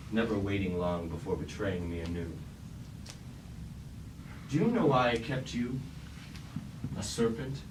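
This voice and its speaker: A man's voice: lowish voice, natural voice. An actor playing a proud, wrathful deposed robot warlord king, with grand, commanding, archaic delivery in a staged reading of a tragedy. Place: indoor staged reading at a university. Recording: roomy, distant stage recording with light noise.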